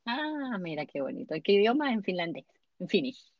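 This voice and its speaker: A woman's voice: mid-range voice, sing-song, normal speed, no echo, lo-fi sound. A woman co-hosting a homemade podcast, from Venezuela and Chile, chatting casually from a small closet. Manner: chatty, laughs often. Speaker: podcast co-host. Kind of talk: casual chat. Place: small closet at home, at night.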